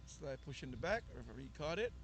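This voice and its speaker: A man commentating a youth soccer match, chatty and quick to laugh with his co-commentator. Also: a little high, big pitch swings, a little hiss or hum. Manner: chatty, laughs easily, trades banter with co-commentator. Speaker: sports commentator.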